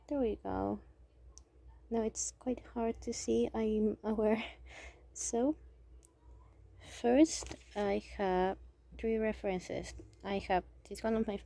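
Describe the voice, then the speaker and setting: Soft slow Mexican woman, gravelly. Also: mid-range voice, steady tone, no echo. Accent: Mexican Spanish. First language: Spanish. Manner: hesitant, halting, thinking aloud; soft-spoken, drops to near whisper. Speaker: amateur artist. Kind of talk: casual drawing tutorial.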